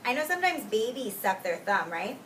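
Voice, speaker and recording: Recorded at a distance with faint noise, a woman preschool teacher reads a storybook aloud in a slightly high, bright voice. She is expressive and deliberate, stretching key words and pausing to explain things simply to small children. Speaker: preschool teacher reading a picture book aloud. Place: indoors in a classroom with young children. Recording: roomy distant mic with faint background noise.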